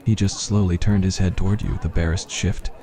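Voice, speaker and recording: A man's voice: low-pitched, steady tone, fairly quick, warm tone. A man reading a scripted fantasy roleplay as ASMR story narration.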